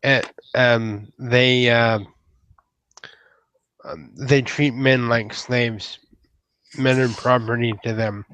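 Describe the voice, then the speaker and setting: A man's voice: medium pitch, steady tone, slow pace, long pauses, fairly bright tone, clear, resonant tone, dry recording, rough recording. A man playing an earnest, bashful young would-be knight in a tabletop game, speaking formally with hesitant stammers. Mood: guarded, disapproving.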